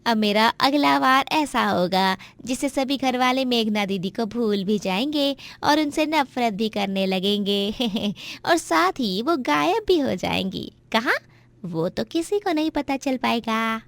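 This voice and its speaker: A woman's voice: a little high, lively intonation, a little slow, creaky, dry recording. A woman voicing a jealous, scheming young daughter-in-law in a moral story, with a plotting, gloating manner. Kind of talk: Hindi moral story voice acting.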